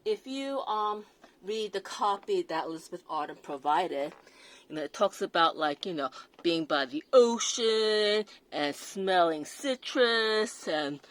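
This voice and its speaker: A woman with a non-native accent reviewing perfume in a casual, hesitant way, full of fillers and restarts. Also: fairly low pitch. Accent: non-native English accent. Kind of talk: casual product review vlog.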